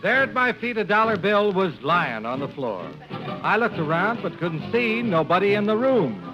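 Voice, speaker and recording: A man's voice: high-pitched, very animated, moderate pace, music in the background. An actor playing an easygoing, curious man needling his boss in 1950s radio comedy, on a dull old recording. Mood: playful, rhythmic.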